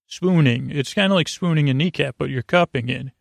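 Man, gravelly fry, dry close sound, rambling sleep-podcast host, halting, self-interrupting, whimsical. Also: on the high side, no room sound. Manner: gently absurd, whimsical. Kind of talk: rambling bedtime podcast monologue.

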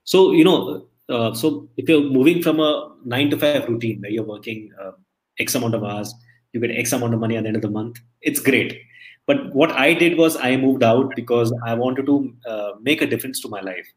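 A man from India speaks as an interview guest, rattling off stories at a fast clip. His talk is chatty and loose, full of restarts. He sounds middle-aged. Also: medium pitch, poor audio. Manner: chatty, storytelling, many restarts. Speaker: interview guest, emcee and entertainer.

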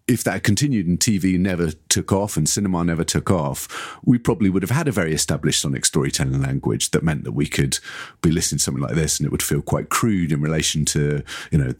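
A man with a very low, husky voice, recorded close and dry. He talks as a podcast co-host in a loose, thoughtful discussion, building his points at length in a conversational way. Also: a little mellow, dry recording. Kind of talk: casual analytical discussion.

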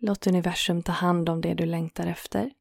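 A woman guiding a yoga nidra relaxation in a calm, soothing manner, leaving gentle pauses between phrases. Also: lowish voice, vocal fry, dry recording, studio quality. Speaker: meditation guide and host.

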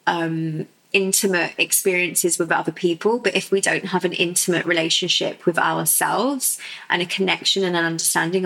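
A woman with a lowish voice and a gravelly edge speaks as a sexuality coach. She talks as a guest in a podcast interview. Her explaining is soft and unhurried, with plenty of fillers. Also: poor audio.

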